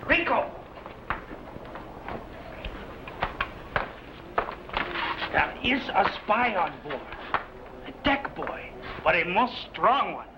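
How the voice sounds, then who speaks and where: A menacing, scheming sailor played by a man in an old radio adventure. The voice is high for a man and very animated, with deliberate, theatrical phrasing. Clear noise runs through the vintage recording. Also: muffled recording. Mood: urgent. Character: scheming suspicious male Spanish sailor plotting mutiny.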